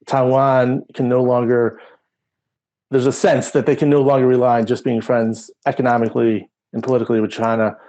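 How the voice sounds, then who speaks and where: A man's voice: medium pitch, little pitch movement, a little fast, dark tone. A man co-hosting a podcast, talking through current affairs in a casual, conversational way. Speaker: podcast co-host.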